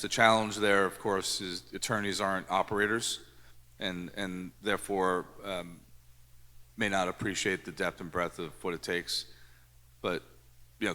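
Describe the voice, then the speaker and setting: A man's voice: low-pitched, pushed and bright. A man speaking on a conference panel as a former security chief, earnest and often repeating words as he thinks. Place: conference hall. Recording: echoey conference hall.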